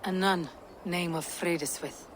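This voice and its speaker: A woman playing a stern, resolute Viking warrior in a video game, speaking bluntly and gravely. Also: on the low side, steady tone, measured pace, noisy background, natural voice. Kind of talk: dramatic video game acting.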